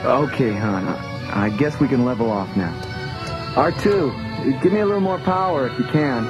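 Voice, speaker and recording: An actor playing an earnest, boyish young male starfighter pilot in a space adventure radio drama, giving brisk military directions. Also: mid-range voice, lively intonation, lo-fi sound, loud music behind the voice, lightly acted. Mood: eager, focused. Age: young adult.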